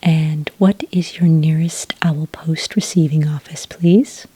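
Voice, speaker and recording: A woman speaks in an extremely breathy half-whisper, low and mellow, as a patient, polite enrollment witch in an ASMR roleplay. She is gentle and unhurried, with small hesitations as she takes down details. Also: fairly even intonation. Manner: gentle, slow, hesitant official patter. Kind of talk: soft-spoken ASMR roleplay. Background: computer keyboard typing.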